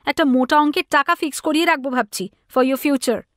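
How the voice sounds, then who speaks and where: Actress as a high-voiced, disapproving mother. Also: fairly quick, no echo, clean studio sound, natural voice. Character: disapproving, haughty middle-aged academic mother.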